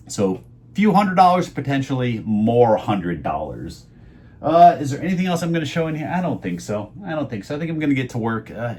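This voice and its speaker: A middle-aged man casually rambling in a home vlog about his reseller sales, chatty and meandering with many fillers, his voice going hoarse. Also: medium pitch, darkish tone. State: losing his voice. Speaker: eBay reseller vlogger.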